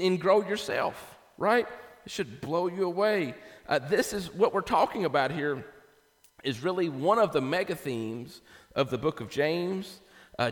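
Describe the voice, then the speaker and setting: A man preaching a conversational sermon in an emphatic, informal way, often checking in with his listeners. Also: fairly high pitch. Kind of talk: conversational sermon with Bible exposition. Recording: echoey hall with long reverb.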